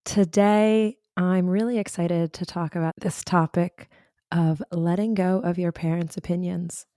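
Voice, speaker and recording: A woman host, a little hoarse from recovering her voice, talking reflectively and personally to listeners as a career coach. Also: lowish voice, fairly even intonation, a little slow, dark tone, dry recording, studio quality. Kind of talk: solo podcast talk.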